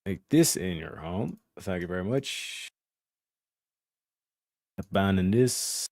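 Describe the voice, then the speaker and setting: A man's voice: low voice, lively intonation, takes it slowly, a little mellow, dry recording, clean studio sound. A man streaming a game, chatting casually and joking with his viewers, swearing a lot. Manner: profane, joking, talks to chat while playing. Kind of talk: casual gaming livestream chat.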